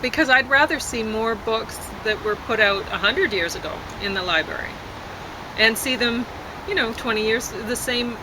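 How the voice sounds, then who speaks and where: A woman chatting casually, hesitant and rambling, often trailing off mid-thought. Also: moderate pace. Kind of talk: casual chat. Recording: constant traffic and outdoor rumble noise.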